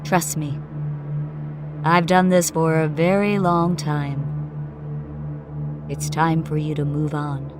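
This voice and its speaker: A woman's voice: deep voice, steady tone, slow pace, long pauses, music in the background. A woman voicing a kindly, matter-of-fact male caretaker with polished customer-service patter, in a dramatised ghost story. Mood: gentle, reassuring. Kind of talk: dramatised audiobook dialogue.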